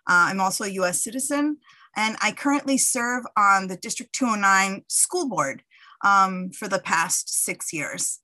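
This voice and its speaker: A woman's voice: mid-range voice, animated, unhurried, pushed and bright, no room sound. A woman from Colombia who is a professor and school board member, introducing herself plainly with frequent "um". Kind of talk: podcast guest introduction.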